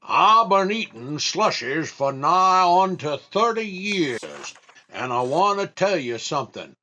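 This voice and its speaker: A man voicing a weary, folksy old country woman in 1940s radio comedy, with a slow rustic drawl and slightly muffled sound. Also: a little high, big pitch swings, bright, forceful tone, exaggerated voice.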